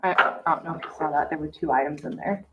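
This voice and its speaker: A woman's voice: low-pitched, fast. Woman in a noisy, echoey room. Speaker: meeting participant. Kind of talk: informal meeting remarks. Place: indoor public meeting room. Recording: muffled, echoey room with clear noise.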